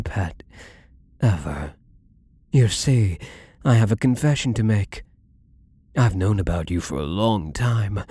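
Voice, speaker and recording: A man's voice: medium pitch, mellow, slightly rough, no room sound. A man playing a wealthy, obsessively devoted and controlling gambler in an intimate ASMR roleplay, with doting, menacingly tender delivery and formal wording. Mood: teasing, possessive, then adoring, confessional.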